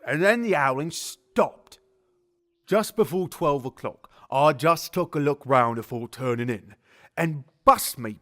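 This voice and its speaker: A man playing a chatty, sly, working-class zookeeper in middle age, rambling folksily in an audio drama. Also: on the high side. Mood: puzzled, recounting. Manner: folksy, colloquial, rambling storyteller. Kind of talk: audio drama dialogue, character storytelling.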